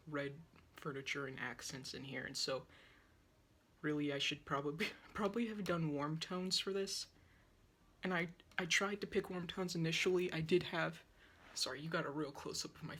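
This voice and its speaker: A woman's voice: low voice, bright, forceful tone, husky. A young woman in her twenties chatting casually to her phone camera while painting at home, sounding wheezy from a sore, dry throat. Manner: chatty, self-deprecating, talks while painting. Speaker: art vlogger. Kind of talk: rambling casual chat. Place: small yellow room at home, indoors. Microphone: phone on a tripod, close-up.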